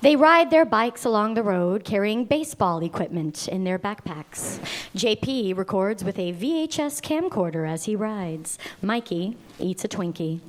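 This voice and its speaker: A woman reading the stage directions aloud at a live table read, slow and deliberate, with an American accent. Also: slight background noise. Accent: Mid-Atlantic American. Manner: slow, deliberate reading with lively pitch swings. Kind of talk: table read narration of a script.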